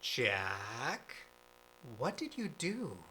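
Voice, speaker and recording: An actor playing a gruff, sarcastic but protective partner in an audio drama, talking in a blunt, sweary, dry way. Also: mid-range voice, huge pitch swings, very slow, pushed and bright, slight background noise, full character voice. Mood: reluctant, serious.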